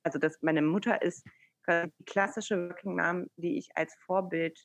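Guest: woman in her thirties, low gravelly voice, hesitant and thoughtful, speaking over a video call. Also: steady tone, moderate pace. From Iran. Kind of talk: casual interview conversation.

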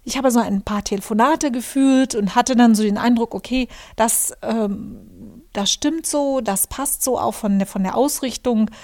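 A woman's voice: on the high side, measured pace, a little mellow. A German woman hosting her own podcast, talking through her thoughts in a reflective monologue with many fillers and restarts. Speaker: podcast host, journalist and author.

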